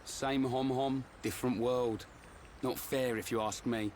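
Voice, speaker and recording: A man playing a good-natured, slow-witted young fighter in a video game, casual and plain-spoken. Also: medium pitch, steady tone, darkish tone, a little hiss or hum, close to an everyday voice. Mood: grumbling. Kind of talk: video game cutscene acting.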